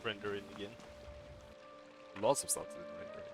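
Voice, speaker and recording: Low-voiced man streaming a game. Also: fairly even intonation, fairly quick, over music. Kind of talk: casual let's play commentary. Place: live game stream, game music behind.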